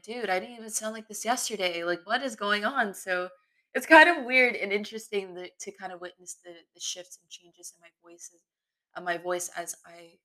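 A woman hosting her own podcast, talking off the cuff in a candid, rambling way, her voice sometimes smooth and sometimes raspy. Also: medium pitch, pushed and bright, rough recording. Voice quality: voice shifts between smooth and raspy. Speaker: podcast host. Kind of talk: unscripted solo podcast monologue. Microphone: computer mic in web browser.

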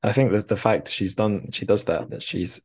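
A man's voice: fairly low pitch, normal speed, darkish tone, slightly rough. A man hosting a casual interview podcast, musing aloud with hesitant, tentative phrasing. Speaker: podcast host.

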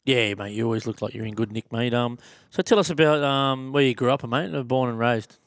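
Matey Australian podcast host. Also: male voice, medium pitch, brisk, no echo, clean studio sound. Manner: matey, says mate constantly. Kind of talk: casual podcast chat.

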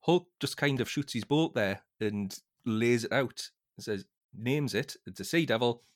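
A man's voice: mid-range voice, expressive, a little slow. A man speaking as a podcast guest, casually discussing a book in an informal, explanatory way.

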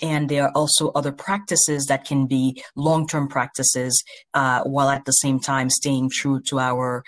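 College president from haiti, medium pitch, earnest and measured, answering interview questions in formal academic language. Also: level tone, fairly bright tone. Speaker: university president, interview guest.

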